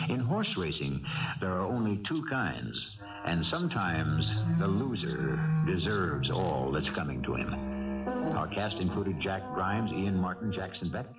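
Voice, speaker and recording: A man hosting a radio drama with dramatic, measured, portentous scripted narration. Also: low voice, far from the mic. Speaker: host and narrator. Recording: muffled 1970s radio, loud music behind.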